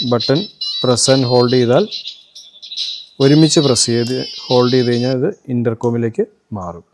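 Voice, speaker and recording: A man's voice: mid-range voice, darkish tone. A man with a Malayali accent from Kerala presents an electronics demo, explaining things informally, step by step. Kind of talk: informal product demo and tutorial.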